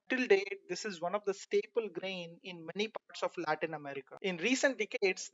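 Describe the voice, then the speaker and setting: A man's voice: sits high, a bit bright, dry recording, lo-fi sound. A man from India hosting his own gardening video, walking viewers through numbered tips in a friendly, instructive way. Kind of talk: narrated gardening tutorial.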